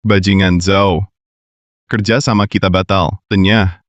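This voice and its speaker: A man's voice: low-pitched, fairly even intonation, a little slow, no room sound, lo-fi sound. A young man voicing a curt, commanding company president in a dubbed drama. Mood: protective. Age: young adult.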